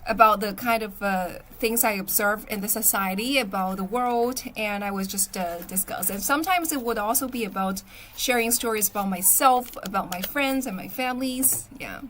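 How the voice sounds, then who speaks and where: A woman's voice: medium pitch, moderate pace, fairly bright tone, a little hiss or hum. A young woman from Shanghai whose first language is Chinese, hosting a casual livestream, chatting and answering viewer comments. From Shanghai, China. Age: young adult. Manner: chatty, reads and answers viewer comments, often asks "okay?". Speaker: livestream host. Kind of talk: casual live-stream chat with viewers.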